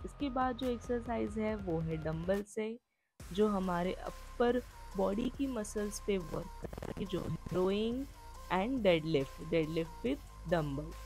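A woman's voice: medium pitch, expressive, slow, a little mellow. Woman fitness vlogger, instructional voice-over, mid-range voice, light music bed. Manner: explains steps and reminders directly to viewers.

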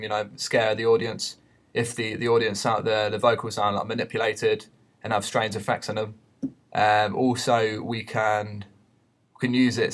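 A man giving an unscripted software tutorial in a hesitant, explanatory way. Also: fairly low pitch. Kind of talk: unscripted screen-recorded tutorial. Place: voice-over for a screen recording.

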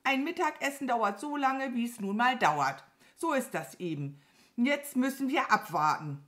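A woman voicing an excitable, bossy crow with a scolding, agitated manner for a children's storybook. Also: on the high side, unhurried, voice pushed up. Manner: agitated, scolding, stammers when alarmed.

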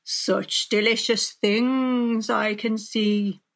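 A woman's voice: on the high side, fairly even intonation, very slow. Storybook villain: hungry, sly he-wolf, menacing and savouring each word, performed by a female reader. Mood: sly, coaxing. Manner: sneaky, menacing, relishing his words. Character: sly, greedy, hungry male big bad wolf. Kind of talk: children's storybook character voice.

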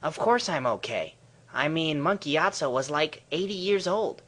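A carefree boy hero from a cartoon parody, acted out by a man. His voice is bright and forward, and he talks in a flippant, boastful way, as if nothing could bother him. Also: a little high, sing-song, moderate pace. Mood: breezy. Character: cocky, carefree twelve-year-old boy hero. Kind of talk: comedic cartoon parody dub.

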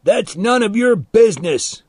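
A man voicing a big, angry bully in a story, shouting, taunting and threatening in a raised voice. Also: medium pitch, takes it slowly, dry recording, clean studio sound, lightly acted. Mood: furious.

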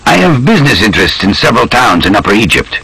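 Brief: tired, wary male spy in disguise, measured speech, husky tone, as a male narrator plays him. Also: fairly low pitch, sing-song, pushed and bright, dry recording, voice pushed up, heavily acted, low-quality recording. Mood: guarded. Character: weary male spy posing as a traveller, guarded.

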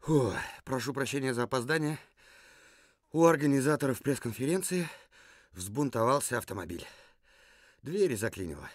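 A man's voice: medium pitch, lively intonation, moderate pace, long pauses, natural voice. A man voicing a male puppet survivor from a ruined future, who talks in an earnest, lecturing way and sometimes hesitates. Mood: flustered. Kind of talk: puppet theatre performance.

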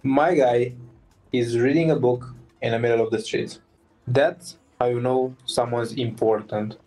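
A woman's voice: a bass voice, steady tone, unhurried, warm tone, rough recording. A woman streamer giving casual, off-the-cuff commentary while playing a video game. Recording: game music behind the voice.

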